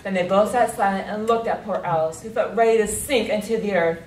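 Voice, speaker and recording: A woman's voice: medium pitch, a little fast, darkish tone. A woman narrating a readers' theatre story in a dramatic, scripted storytelling style. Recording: echoey distant recording, faint noise.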